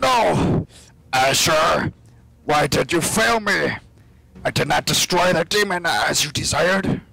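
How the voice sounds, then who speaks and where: A man voicing a grand, fanatical fantasy game king with a loud, drawn-out, declamatory delivery. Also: on the high side, vocal fry, soft background music, full character voice, rough recording. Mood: anguished.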